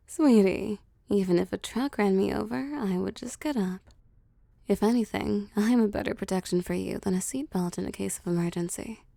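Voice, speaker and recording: A woman voicing a seductive, possessive vampire boss in an intimate audio roleplay, cooing and teasing softly and often slipping into whispers. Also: mid-range voice, moderate pace, creaky, no echo, clean studio sound. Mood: playful menace. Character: seductive, possessive female vampire CEO pampering her prey. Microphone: close, dry microphone.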